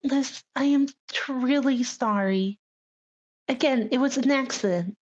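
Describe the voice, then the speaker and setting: A woman's voice: high-pitched, a little slow, darkish tone. A woman playing a tabletop game, chatting casually and speaking for her character, in slightly muffled audio.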